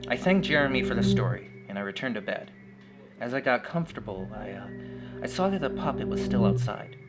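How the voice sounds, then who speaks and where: Male horror storyteller over loud guitar music. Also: mid-range voice, animated, fairly quick. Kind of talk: first-person horror story narration. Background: loud plucked guitar music.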